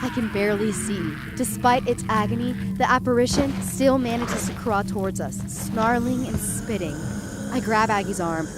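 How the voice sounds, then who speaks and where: A woman's voice: fairly high pitch, moderate pace, over loud music, lightly acted. A woman playing a frightened, dazed young woman who narrates her nightmare in the first person, intense and dramatic. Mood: tense, dazed. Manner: intense, dramatic, swinging from hushed to urgent.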